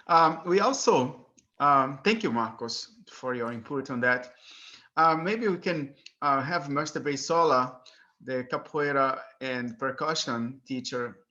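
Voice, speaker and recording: Male panel moderator, bright tense voice, muffled lo-fi sound. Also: medium pitch, takes it slowly. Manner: hesitant, many fillers. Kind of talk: hosting a panel discussion.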